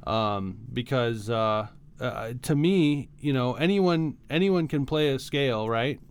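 Clear, smooth-voiced man chatting on a podcast. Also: medium pitch, animated, slow. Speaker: podcast co-host, music arranger and teacher. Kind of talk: casual explanatory podcast discussion.

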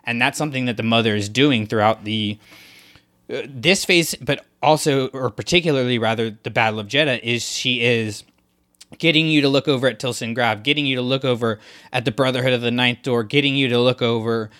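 A man's voice: mid-range voice, fairly quick, fairly bright tone. A man co-hosting a casual discussion podcast, talking things through in a thoughtful, analytical way with frequent restarts. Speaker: podcast co-host.

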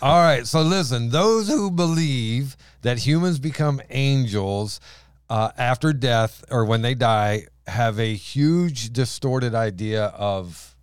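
A man with a deep voice and strained vocal cords, hosting a casual Bible podcast, laughing often and restarting his sentences. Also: lively intonation, takes it slowly, clean tone, dry recording, studio quality. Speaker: podcast host.

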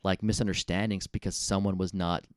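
A man's voice: deep voice, level tone, a little fast, clear, resonant tone, no echo, clean studio sound. A man who speaks English natively, chatting casually and offering practical advice as a co-host. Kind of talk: casual chat with advice and anecdotes.

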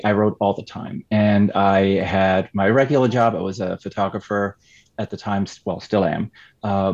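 A man with a Swiss German accent talks through a dull, lo-fi line in a somewhat low voice. He is reflective and a little self-deprecating as an author guest on a casual panel. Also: darkish tone. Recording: muffled lo-fi audio.

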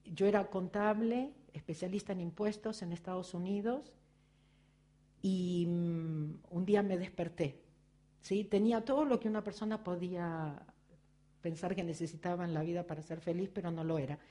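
Argentine woman, mellow, reflective talk. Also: fairly low pitch, fairly even intonation, normal speed. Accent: Argentine Spanish. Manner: reflective, personal, addressing the audience with frequent rhetorical questions. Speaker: spiritual speaker. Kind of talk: motivational talk.

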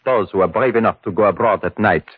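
A man's voice: lowish voice, slightly rough, no echo. An actor playing a grave, superstitious Frenchman with a French accent, telling ominous tales in old radio horror style. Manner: ominous, deliberate storytelling. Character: grave, superstitious French villager warning of a vampire. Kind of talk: 1940s-50s radio horror acting. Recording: muffled old radio broadcast.

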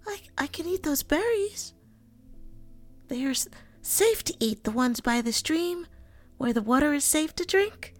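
A small, timid storybook girl, played by a woman, with a high, thin voice. She speaks earnestly and haltingly, tripping over her first words. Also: lively intonation, faint music behind. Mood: hopeful. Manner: hesitant, stammering.

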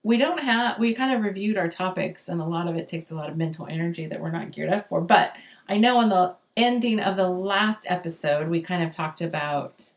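A woman's voice: fairly low pitch, fairly quick, recorded from a distance. A tired middle-aged woman, a mother, co-hosting a podcast in casual chat, rambling with lots of "like" and "um". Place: same room as co-host, home recording.

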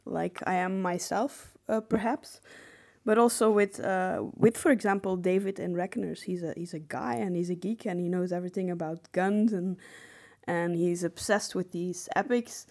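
A woman's voice: lowish voice, fairly even intonation, normal speed, a little mellow. A woman talking casually as a podcast co-host in a conversational discussion.

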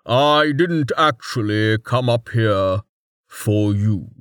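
A man voicing a huge armored golem warrior who talks bluntly and flatly in slow, clipped lines. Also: fairly low pitch, smooth, no echo, clean studio sound.

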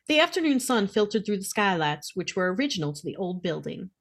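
Female podcast co-host, average pitch, casual and teasing delivery of narrated fiction. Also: sing-song, a little fast, breathy edge. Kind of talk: casual read-aloud of a novel, with joking.

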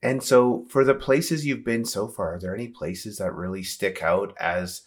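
A man with a fairly low voice hosts an interview. He talks casually and conversationally with his guest. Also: moderate pace. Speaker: interview host.

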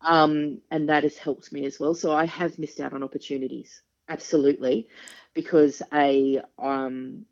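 A woman in her forties with a deep speaking voice, a singer talking frankly and with quick humour as a podcast guest. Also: flat delivery, gravelly, darkish tone. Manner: frank, chatty, quick-witted, laughs often. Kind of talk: casual interview chat. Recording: dull lo-fi podcast audio.

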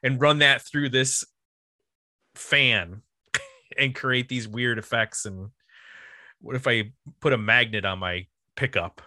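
Guy hosting a music podcast, bright forward voice, rambles on excitedly, dry close sound. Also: medium pitch, slow pace, dry recording. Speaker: podcast host. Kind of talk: casual music discussion.

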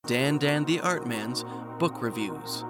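A man pitches his own podcast in an easygoing, offhand tone. Loud drums and instrumental music play right under his voice throughout. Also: lively intonation, slow pace. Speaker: podcaster promoting his show. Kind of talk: casual promo.

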